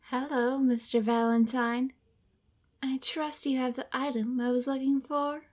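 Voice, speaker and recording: Woman playing a slow, haughty femme fatale. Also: sits high, little pitch movement, darkish tone. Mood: cool, formal. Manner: measured, condescending, formal. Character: cold, haughty, calculating rich femme fatale. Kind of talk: noir audio drama dialogue.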